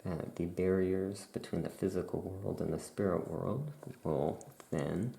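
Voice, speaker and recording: A performer voicing a chatty, musing necromancer witch shopkeeper in a soft-spoken ASMR roleplay, with writing sounds behind. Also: sits low, steady tone, a little slow, vocal fry. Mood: relaxed, musing.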